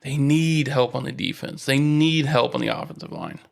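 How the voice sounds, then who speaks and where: Male podcast co-host, mid-pitched, casual and opinionated sports talk. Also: fairly even intonation, moderate pace, vocal fry. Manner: informal, opinionated, swears casually.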